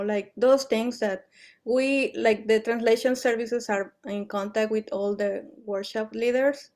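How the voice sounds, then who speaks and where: A woman speaks informally in an online volunteer meeting as an organizer. Her voice sits at a middle pitch, and she feels her way through phrases in a halting manner. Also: a little mellow. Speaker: event organizer.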